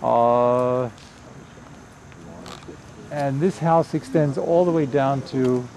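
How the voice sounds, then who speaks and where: Elderly man, soft voice, halting storyteller, outdoors on camcorder, traffic noise behind. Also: medium pitch, rough recording. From Pennsylvania, USA. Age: 80s. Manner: halting, many pauses and restarts. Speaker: family tour guide. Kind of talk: reminiscing storytelling. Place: outdoors by a roadside house.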